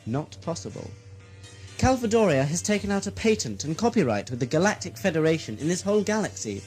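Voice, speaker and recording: A man's voice: fairly high pitch, sing-song, a little fast, a little mellow. A man playing a grandiose, greedy, gleefully murderous villain lord in an audio sci-fi drama, with a theatrical, mocking, mock-courteous delivery. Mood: smug, gleeful. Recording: music underneath.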